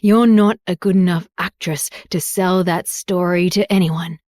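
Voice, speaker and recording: A woman's voice: fairly low pitch, steady tone, measured pace, dry recording, clean studio sound, natural voice. A woman voicing a furious, contemptuous father. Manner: shouting, contemptuous. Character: furious proud middle-aged father.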